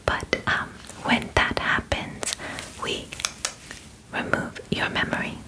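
A woman in her twenties whispering softly and breathily in an ASMR roleplay as a polite, formal alien examiner, with gentle, halting phrasing. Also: poor audio. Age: 20s. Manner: gentle, halting, measured, formal wording with short pauses. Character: polite, matter-of-fact female alien medical examiner. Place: small bedroom, indoors.

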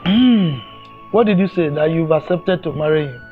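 An actor playing a family elder who reasons and reproaches in turn. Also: male voice, fairly high pitch, moderate pace, phone-quality sound, over loud music, natural voice. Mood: reproachful.

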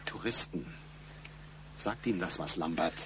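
A man's voice: deep voice, a little slow, background noise. An actor playing an insistent middle-aged boss who questions his employee pointedly in a crime radio play. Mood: probing, matter-of-fact. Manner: pointed, probing, matter-of-fact. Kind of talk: German radio crime drama acting.

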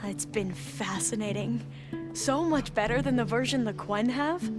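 An actress playing an excitable young woman scholar in a video game, earnest and gushing, rambling as she goes. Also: on the high side, natural voice. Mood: keen, earnest. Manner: gushing, halting and self-correcting when flustered. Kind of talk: video game dialogue acting. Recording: loud music behind the voice. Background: music.

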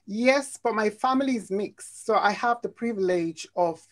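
A young adult woman with a Nigerian accent, telling her life story in a video call interview, reflective and halting with restarts. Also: medium pitch. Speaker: interview guest. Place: home room, indoors.

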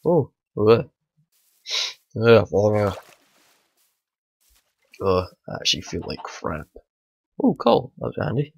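A man, hoarse from a bad cold, rambling through casual let's play commentary at home. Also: fairly low pitch, sing-song, takes it slowly, pauses often, lo-fi sound. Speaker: gaming channel host.

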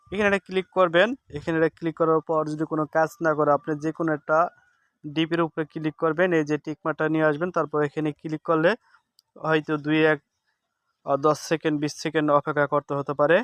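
A man's voice: a little high, little pitch movement, quick pace, a bit bright, no room sound. A man giving a casual step-by-step tutorial, instructive and repeating himself as he goes. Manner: instructive, repeats steps and seeks confirmation. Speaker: tech tips host. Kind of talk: casual screen-recording tutorial.

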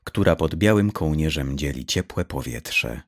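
A man reads dark fiction as an audiobook narrator, in a measured, literary storytelling style. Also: very deep voice, expressive, gravelly, a little hiss or hum.

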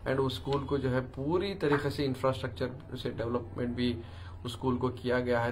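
A man in his forties with a Deccani Urdu accent, giving a halting public message mixed with English phrases. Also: medium pitch. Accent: Deccani Urdu of southern Andhra Pradesh. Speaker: local politician. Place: small crowded office room indoors. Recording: room noise in background.

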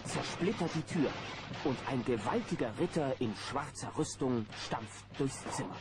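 Ein Mann als Erzähler, der eine Abenteuergeschichte für Kinder vom Manuskript vorträgt. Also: male voice, mid-range voice, expressive, normal speed, close to an everyday voice. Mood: dramatic narrating. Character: storyteller of a children's adventure. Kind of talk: scripted narration. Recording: noisy old cassette recording.

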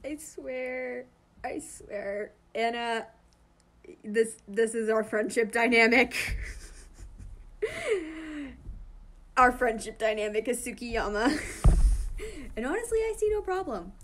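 A woman with a somewhat high voice talks in a casual, chatty way. She rambles through her thoughts in a gushing, giggly manner, as a host reacting to fan comics. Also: animated, takes it slowly, pauses often. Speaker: host reading fan comic dubs and commenting.

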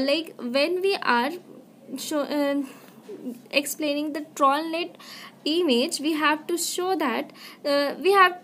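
A woman lectures through a slide presentation in an online class. Her voice is high and bright, and she takes it slowly, pausing and correcting herself as she goes. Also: a little hiss or hum. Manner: halting, with restarts and fillers while explaining.

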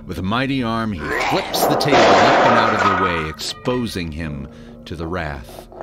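An actor playing a haunted adventurer who tells his story in a slow, brooding, dramatic way for an audio drama. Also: deep voice, expressive. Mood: grim. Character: haunted young adventurer recounting a childhood dream. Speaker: character narrator in an audio drama. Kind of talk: dramatic first-person storytelling. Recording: dramatic music under the voice.

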